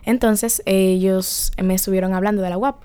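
A young Dominican woman guests on a radio show in the studio. She speaks with a Dominican accent and tells her story in a lively, animated way. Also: medium pitch, moderate pace, clean tone. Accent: Dominican Spanish. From Dominican Republic. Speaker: student guest. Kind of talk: radio interview.

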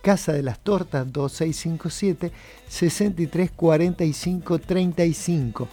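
Mellow, higher-voiced Argentine man on radio. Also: a little slow, faint music behind. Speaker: radio co-host. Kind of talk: casual radio chat with live ad reads.

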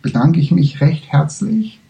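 A man gives a spiritual lecture in slow, halting speech and often stops to search for the right word. His voice is very dark and mellow. He is not a native speaker of the language. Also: on the high side, animated, gravelly, rough recording. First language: not German.

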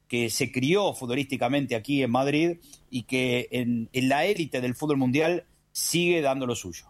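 A male sports host with an Argentine accent speaks in a mid-range voice. He analyses football emphatically and rhetorically, setting up his points with deliberate pauses. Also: mid-range voice.

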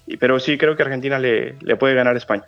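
A man's voice: mid-range voice, fairly even intonation, fast, no echo, soft background music. Colombian man on a muffled phone line. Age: 30s. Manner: friendly, rambling, with many fillers and restarts. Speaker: phone-in guest. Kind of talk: casual radio interview.

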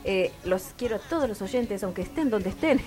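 A woman's voice: mid-range voice, animated, normal speed, warm tone, faint music behind. A woman with an Argentine accent, co-hosting a radio show, chatting and joking on air. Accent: Argentine Spanish. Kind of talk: casual on-air sign-off chat.

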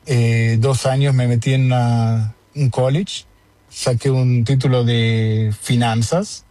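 A man's voice: mid-range voice, fairly even intonation, takes it slowly, dark tone, dry recording. A man with an Argentine Rioplatense accent, chatting casually on a video call, joking and rambling with fillers. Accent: Rioplatense Argentine Spanish. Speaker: interviewee. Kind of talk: casual conversation.

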